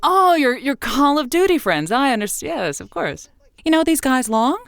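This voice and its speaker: A woman's voice: high voice, very animated, normal speed, vocal fry, a bit bright, no echo, heavily acted. A woman playing a radio advice show host who hedges, stammers and waffles over what to tell her callers. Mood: waffling. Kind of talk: improvised comedy call-in show.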